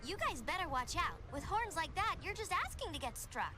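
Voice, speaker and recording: An actress playing a perky young heroine in a fantasy video game cutscene. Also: female voice, extremely high pitch, very animated, fairly quick, over loud music. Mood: bright, teasing.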